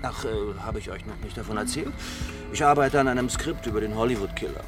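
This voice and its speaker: A man's voice: on the low side, quick pace, over music, close to an everyday voice. An actor in a German radio drama playing a jittery, taunting diner regular who fancies himself a screenwriter, twitchy and boastful. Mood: excited, taunting. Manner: hesitant, twitchy, boastful when excited.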